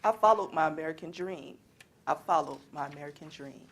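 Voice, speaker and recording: A woman's voice: low-pitched, fairly quick, a little hiss or hum, natural voice. A young woman performing scripted lines as a student in readers theater. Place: college library event. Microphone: worn microphone.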